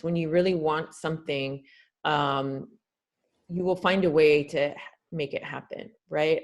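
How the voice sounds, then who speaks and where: A woman's voice: deep voice, darkish tone. A woman from Canada, a guest doctor answering webinar questions on a video call, earnest and explanatory with frequent fillers.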